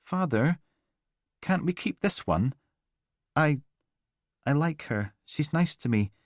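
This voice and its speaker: A timid little boy begs in a small, high, whiny voice, as a male narrator puts him on. It sounds close and dry. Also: animated, slow, no room sound. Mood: pleading.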